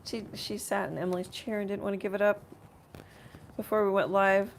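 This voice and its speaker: A woman hosts a relaxed live painting demonstration, thinking out loud as she works. Her voice is a little rough, with a gravelly creak and a middle pitch. There is faint noise in the studio behind her. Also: a little fast. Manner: rambling, thinking aloud while working. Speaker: painter hosting a live art tutorial. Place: home art studio.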